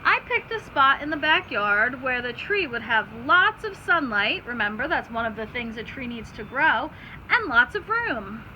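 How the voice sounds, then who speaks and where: A woman's voice: high voice, very animated, normal speed, bright, forceful tone, highs cut off. A woman teacher reading aloud to children, stopping to explain simply and put questions to her listeners. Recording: clear background noise.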